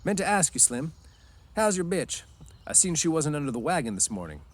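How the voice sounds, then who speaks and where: A man putting on a loud blunt ranch hand. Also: a little high, big pitch swings, brisk, voice pushed up. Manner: blunt, rough-spoken, chuckling.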